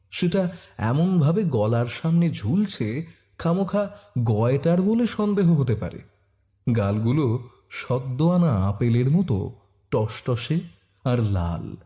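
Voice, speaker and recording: A man giving expressive scripted narration of a detective story. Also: medium pitch.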